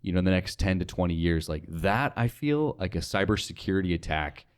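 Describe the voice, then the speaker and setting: A man's voice: deep voice, animated, a little fast, clear, resonant tone. A young man in a casual podcast chat, speaking informally and wondering aloud. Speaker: podcast guest, radio sales associate.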